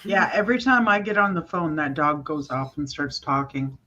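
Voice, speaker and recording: A woman's voice: low-pitched, lively intonation, normal speed, pushed and bright, dull audio, a little hiss or hum. A woman joining a casual livestream chat from home, making offhand household asides.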